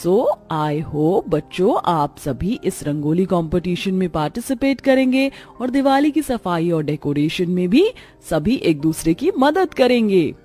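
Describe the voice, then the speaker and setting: A woman voicing an encouraging schoolteacher making an announcement to a class in an animated story. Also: on the low side, measured pace, few pauses. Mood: encouraging, announcing. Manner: clear announcing, instructive. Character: encouraging, orderly female schoolteacher addressing her class. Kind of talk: Hindi animated moral story dialogue. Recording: music behind the voice.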